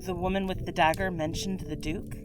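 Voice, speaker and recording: A woman speaking gently and caringly to the listener in an ASMR roleplay. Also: on the low side, steady tone, a little fast. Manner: gentle, caring, soft-spoken. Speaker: audio drama performer. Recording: voice over background music.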